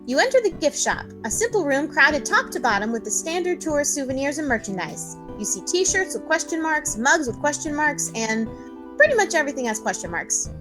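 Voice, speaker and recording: A woman's voice: sits high, sing-song, a little fast, over music. A woman co-hosting a livestreamed game read-along, chatting and reading out the narration. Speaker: co-host reading narration and player lines.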